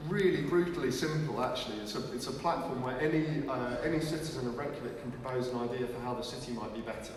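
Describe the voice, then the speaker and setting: A man speaks to an audience in a large museum hall, sounding energetic and often restarting his sentences. He sits far from the mic, and a long reverb trails each phrase. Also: medium pitch, fast, hardly any pauses, slight background noise. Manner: energetic, many restarts and fillers. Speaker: presenter at a launch event. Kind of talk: live presentation to an audience.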